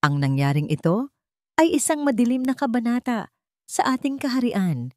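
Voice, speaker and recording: A woman's voice: on the high side, very animated, moderate pace, darkish tone, no room sound, voice pushed up. Lady doing a stern king from a storybook, solemn n authoritative, cold delivery, very dry sound. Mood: solemn, reflective. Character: stern, solemn male king passing judgment. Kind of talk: dramatic storybook character voice.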